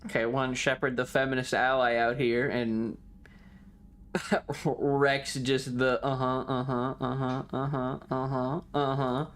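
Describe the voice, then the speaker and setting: A woman's voice: extremely low pitch, level tone, slow. A woman streamer giving casual, sweary, joking commentary while she plays a video game. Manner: joking, irreverent.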